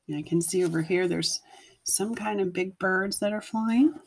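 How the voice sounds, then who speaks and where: A woman's voice: mid-range voice, moderate pace, a little hiss or hum. Woman teacher reading aloud to children. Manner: instructive, asks the listeners questions, addresses children simply. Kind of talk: picture-book read-aloud with commentary to children.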